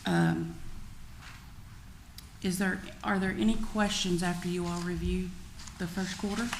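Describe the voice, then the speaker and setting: A woman's voice: on the low side, sing-song, a little slow. A woman presenting an informal report as a finance officer at a public meeting. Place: indoor public meeting room. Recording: echoey meeting room, faint background noise.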